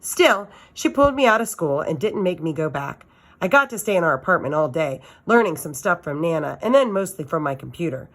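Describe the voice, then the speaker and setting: Low-voiced woman reading a story aloud. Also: sing-song, brisk, a bit bright. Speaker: teacher reading aloud to students. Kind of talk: expressive children's novel read-aloud.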